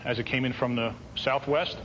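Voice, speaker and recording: A man's voice: medium pitch, steady tone, moderate pace, a bit bright. A man recounting events as an air traffic controller in an interview. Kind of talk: first-hand recollection in interview. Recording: long reverb, faint background noise.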